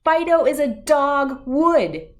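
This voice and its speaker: A woman's voice: sits high, big pitch swings, takes it slowly, voice pushed up, full character voice. A woman voicing an earnest, eager little girl in a storybook, insistent and devoted. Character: earnest, devoted little girl who loves her tree.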